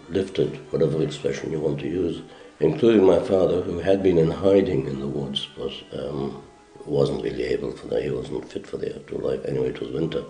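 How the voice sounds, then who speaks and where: Very deep-voiced older man, gravelly and wry. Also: mellow, reverberant. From Slovakia. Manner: wry, plainspoken storytelling. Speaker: Holocaust survivor interviewee. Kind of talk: documentary testimony.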